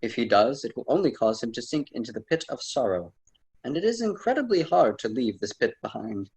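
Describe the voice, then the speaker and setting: Guy as wise old-myth storyteller in a radio drama, formal, preachy, bit husky. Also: mid-range voice, sing-song, fairly quick, a little mellow. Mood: patient. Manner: formal, solemn, didactic. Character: wise, patient, admonishing male storyteller and mentor. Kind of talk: amateur student radio drama acting.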